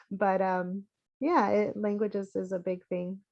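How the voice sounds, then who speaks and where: A woman from the United States whose first language is Spanish, chatting on a panel in a giggly, animated way. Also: mid-range voice, slow, muffled recording. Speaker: panelist, architect. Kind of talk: casual panel chat.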